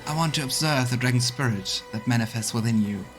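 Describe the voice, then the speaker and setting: A man voicing a solemn, wise gold dragon in healer guise from a fantasy game, with formal, measured speech. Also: medium pitch, lightly acted. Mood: grave, earnest. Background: game music.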